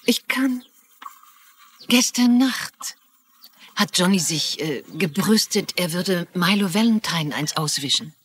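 Confiding, wounded ex-wife. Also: female voice, fairly low pitch, expressive, takes it slowly, fairly bright tone, husky, full character voice. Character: bitter, worried female ex-wife and mother. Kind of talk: dubbed TV crime drama acting.

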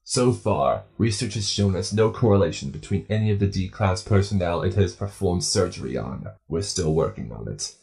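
A man's voice: deep voice, expressive, moderate pace, gravelly, few pauses, a little mellow, slight background noise. A man narrating scripted clinical case files in a formal, clinical manner.

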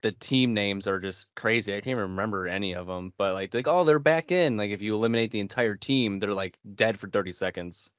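Lowish-voiced American man, casual and dry. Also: brisk, hardly any pauses, no room sound. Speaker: podcast co-host. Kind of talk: casual gaming chat. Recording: slightly dull, band-limited, dry sound.

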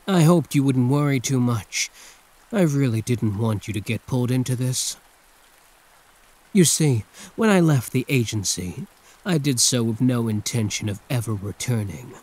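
A man's voice: mid-range voice, lively intonation, moderate pace, a little mellow, natural voice. A man playing a condescending yet protective hero turned villain in an intimate, theatrical ASMR roleplay monologue. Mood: earnest, confiding.

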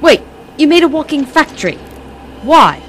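An actress plays an outspoken woman in an audio drama, blunt and full of disbelieving questions. Her pitch moves in lively swoops, with clear noise behind her. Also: normal speed. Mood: incredulous. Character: incredulous, outspoken woman facing an eccentric inventor. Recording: clear noisy ambience behind voice.

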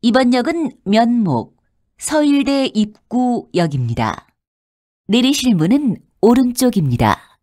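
High, melodic woman making formal transit announcements. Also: very animated, measured pace, dark tone, no echo, studio quality. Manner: formal, polite, clearly enunciated. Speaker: subway announcer. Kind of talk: scripted public transit announcement.

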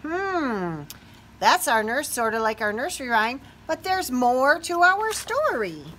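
A middle-aged woman teacher reading a children's storybook aloud in a sing-song, expressive way, putting questions to young listeners. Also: sits high, unhurried, a little hiss or hum. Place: small classroom or office, indoors.

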